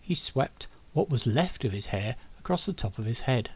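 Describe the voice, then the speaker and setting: A man's voice: mid-range voice, animated, moderate pace, darkish tone, husky. A man narrating a fiction audiobook as the author reading his own novel.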